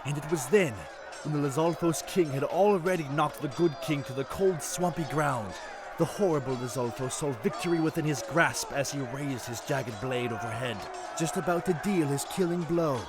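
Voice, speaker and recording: A man voicing a tall, excitable young fish-folk prince who tells tales in a grand, theatrical way, in a fan audio drama. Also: on the high side, normal speed, a crowd in the background, close to an everyday voice. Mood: dramatic storytelling. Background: music.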